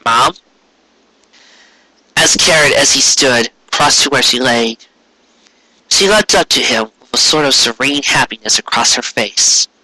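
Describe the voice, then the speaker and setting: A man reading a story aloud as an expressive narrator. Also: medium pitch, dry recording, low-quality recording. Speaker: narrator, fan fiction reader.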